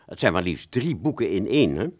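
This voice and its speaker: An actor plays a male bookshop salesman in a radio drama. He is polite, fussy and a touch patronizing, explaining things pedantically. His voice is on the low side and very animated in pitch. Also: fairly quick. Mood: obliging. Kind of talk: radio play dialogue.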